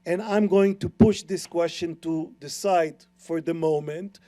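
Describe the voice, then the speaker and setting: A man's voice: a little high, measured pace, warm tone, soft background music. A middle-aged man with an Israeli accent, explaining his view earnestly and emphatically as a panelist. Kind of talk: panel discussion.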